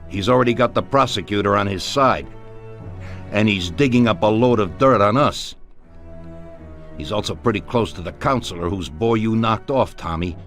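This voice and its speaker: An actor voicing a grave, commanding middle-aged mafia don in a video game cutscene, speaking deliberately and with authority. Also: male voice, fairly low pitch, a bit bright. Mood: grim, serious. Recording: music behind the voice.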